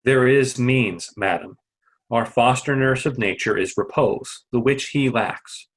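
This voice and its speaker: A man playing a calm, reassuring court doctor in an amateur Shakespeare reading. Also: mid-range voice, a little slow, lightly acted. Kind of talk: amateur Shakespeare reader's theatre.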